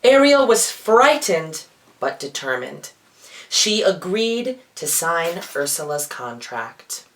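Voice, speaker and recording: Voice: medium pitch, big pitch swings, slow, fairly bright tone. A feminine-sounding storyteller reads a children's storybook theatrically, with playful asides. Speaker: storyteller, drag performer. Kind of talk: dramatic children's storybook reading.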